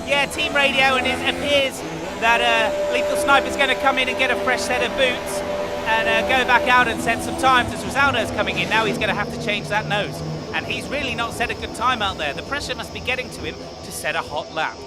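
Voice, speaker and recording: A man playing a lead motorsport commentator, excitable play-by-play that lifts on fast laps. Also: very high voice, very noisy. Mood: steady. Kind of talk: fan-made motorsport commentary.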